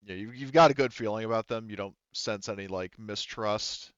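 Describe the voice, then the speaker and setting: A man running a tabletop game as game master, improvising narration in a casual, halting, conversational way on slightly dull audio. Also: fairly low pitch, fairly bright tone, clear, resonant tone, dry recording.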